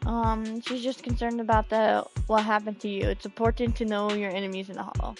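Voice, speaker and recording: A woman's voice: mid-range voice, flat delivery, brisk, soft background music. A woman streaming a game, playful and exclamatory as she reacts and chats with viewers.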